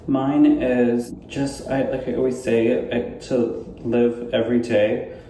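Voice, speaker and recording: A man's voice: mid-range voice, little pitch movement, warm tone, reverberant, recorded from a distance, a little hiss or hum. A man from Southern California co-hosting a casual family Q&A, chatty and playful. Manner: chatty, playful, quick asides. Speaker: co-host of a family channel. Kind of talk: casual Q&A chat.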